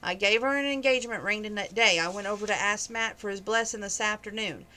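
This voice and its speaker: A woman's voice: medium pitch, a little fast, pushed and bright, slight background noise, natural voice, rough recording. A woman reading aloud, voicing a kind young cowboy in a storybook. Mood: easygoing.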